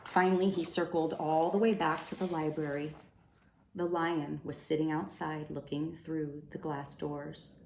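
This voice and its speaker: A woman reading a children's storybook aloud in an expressive narrating style. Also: low voice, unhurried, creaky. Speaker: storybook reader. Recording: roomy echo, faint background noise.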